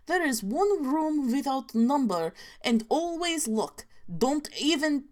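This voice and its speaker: A woman's voice: high voice, animated, slow pace, darkish tone, voice pushed up. Woman putting on a creepy hotel desk lady, fake russian accent, warning tone. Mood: ominous. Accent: mock Russian. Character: stern hotel desk clerk woman, cryptic.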